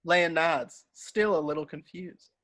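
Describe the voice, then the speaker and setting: A man with a slightly high voice narrates a screenplay. He reads scene headings and action lines in a scripted table-read style. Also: unhurried, a bit bright. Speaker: narrator reading stage directions.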